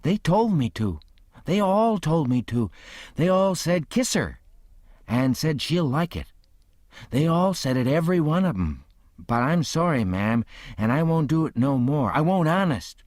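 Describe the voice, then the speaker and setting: Guy voicing a sly young prankster acting all innocent, pitch up and bouncy. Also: lively intonation, measured pace, no room sound, studio quality. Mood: mock-innocent. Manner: earnest, mock-innocent pleading. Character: young man, cheeky trickster playing innocent.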